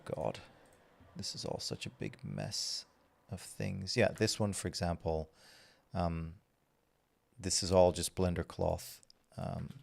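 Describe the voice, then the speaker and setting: A man, his voice deep and gravelly with a lot of fry. He chats loosely with viewers during a livestream, rambling through ums and false starts. The tone stays easygoing and unhurried. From Belgium. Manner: relaxed and rambling, with many fillers and restarts. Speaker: streamer answering chat. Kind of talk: casual livestream chat while working.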